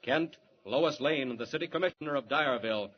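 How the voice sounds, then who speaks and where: A man's voice: mid-range voice, brisk, lightly acted. Casting: male grave, dramatic old-time radio announcer, mid-range voice, suspense narration, dull vintage sound. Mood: ominous. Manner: theatrical, portentous. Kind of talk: 1940s radio scripted narration. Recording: muffled, noisy 1940s radio recording.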